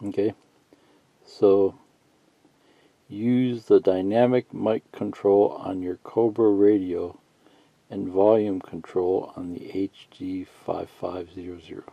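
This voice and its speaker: A man giving a casual, unscripted how-to tutorial, thinking out loud in a halting, folksy way and reading bits of instructions aloud. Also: deep voice, steady tone, gravelly. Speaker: hobbyist instructor. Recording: muffled lo-fi sound, roomy echo.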